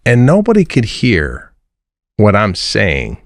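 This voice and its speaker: A man's voice: fairly low pitch, huge pitch swings, slow pace. A man co-hosting a comedy podcast, making wry observations and firing off rhetorical questions. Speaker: podcast co-host. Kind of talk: casual comedic chat.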